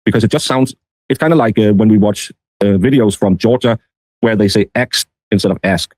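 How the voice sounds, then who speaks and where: A man giving casual, rambling commentary in a halting, meandering way. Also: fairly low pitch, darkish tone, no echo. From Denmark. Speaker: streamer.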